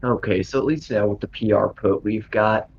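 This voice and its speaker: A man's voice: on the low side, moderate pace, vocal fry. A man chatting casually as a player in a tabletop game. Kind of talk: casual chat. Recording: dull lo-fi mic, faint noise.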